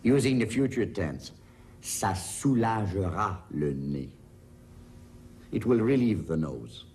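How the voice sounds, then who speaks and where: A man presenting a scripted vocabulary lesson, enunciating each word and phrase in a measured, deliberate way. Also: sits low, sing-song, darkish tone, a little hiss or hum.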